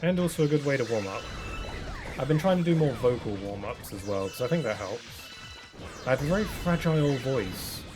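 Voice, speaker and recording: A man's voice: mid-range voice, lively intonation, brisk. Rambling young man streaming a game. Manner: rambling, soft-spoken, many fillers and restarts. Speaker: streamer playing a video game. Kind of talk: casual chat while gaming. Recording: game music playing behind.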